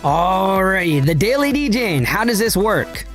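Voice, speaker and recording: A man hosting a casual crypto trading livestream, slangy and hyped, his voice raspy and hoarse from a cold. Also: high voice, sing-song, a little slow, fairly bright tone, faint music behind. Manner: slangy, hyped, addresses chat directly. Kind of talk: casual livestream market talk.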